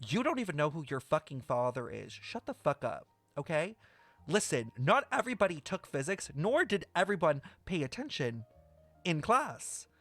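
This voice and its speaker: A brash, sweary livestreamer chatting casually and rambling through an explanation, playfully scolding viewers with theatrical emphasis. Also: medium pitch. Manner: animated, theatrical emphasis with drawn-out words. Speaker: streamer reacting to a video game story. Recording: roomy echo, faint music behind.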